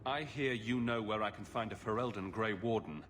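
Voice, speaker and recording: Guy voicing a wry adventurer hero in a game, dry and teasing, lowish voice, noise in the back. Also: normal speed, a bit bright, natural voice. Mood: cool, wry. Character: wry, quick-witted adventurer hero, cool and probing. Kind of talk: video game voice acting. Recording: clear background noise.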